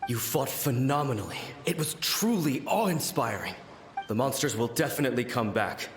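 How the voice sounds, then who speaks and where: An actor playing a dutiful, resolute samurai warrior in a video game, with a firm, formal military manner. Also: male voice, medium pitch, animated, moderate pace, background noise, lightly acted. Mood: reverent. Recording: echoing, with loud music.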